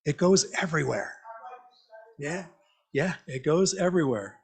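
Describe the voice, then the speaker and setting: A man with a slightly high voice speaks as a lay preacher. He is reflective and conversational, inviting the congregation to think along with questions and short comments. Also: lively intonation, unhurried, gravelly, slightly rough. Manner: conversational, reflective, repeats listeners' answers back. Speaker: lay preacher giving an interactive church message. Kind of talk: interactive sermon, asking the congregation questions. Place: church meeting, speaking to a congregation.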